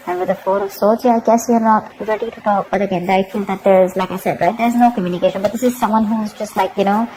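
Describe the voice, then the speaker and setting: A woman's voice: medium pitch, a little mellow, a little hiss or hum, rough recording. Emphatic woman giving a tarot reading. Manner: emphatic, repeats herself, keeps asking "okay?". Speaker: tarot reader.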